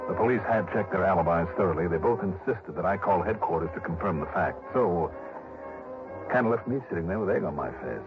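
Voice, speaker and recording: A man's voice: low-pitched, animated, fairly quick. An actor playing a wry, hard-boiled investigator who narrates his case in a clipped 1960s radio drama style. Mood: wry, matter-of-fact. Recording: dull old radio recording with music behind.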